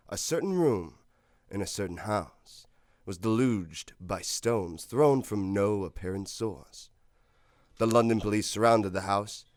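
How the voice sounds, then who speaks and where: A man's voice: fairly low pitch, dry recording, clean studio sound, natural voice. A man playing a grave, ominous storyteller on an old-time radio horror show, portentous and dramatic in his emphasis.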